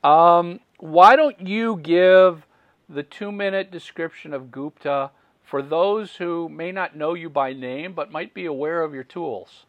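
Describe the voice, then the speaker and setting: A man's voice: fairly high pitch, unhurried, no echo, lo-fi sound. A man hosting a music business podcast, talking in a friendly, conversational way with ums and repeated words. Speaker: podcast host. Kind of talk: casual interview talk.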